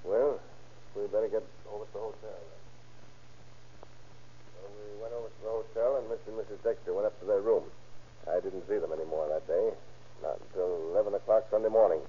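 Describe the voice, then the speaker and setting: An actor playing a deferential, plain-spoken boat captain in an old radio drama. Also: male voice, fairly low pitch, steady tone, a little fast, long pauses, mellow, slightly rough. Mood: folksy, accommodating. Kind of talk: 1940s radio acting. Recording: muffled, hissy old radio recording.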